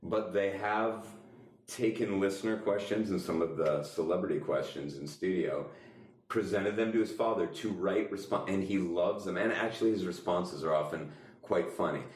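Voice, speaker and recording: Male podcast co-host, deep register, emphatic explainer, recorded off-mic in an echoey space. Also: moderate pace. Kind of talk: casual discussion. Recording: echoey room, distant mic.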